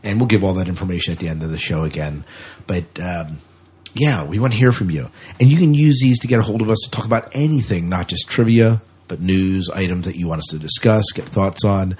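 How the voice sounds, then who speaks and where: A man's voice: deep voice, brisk, darkish tone, husky. A man hosting a podcast in a casual, conversational style. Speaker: podcast host.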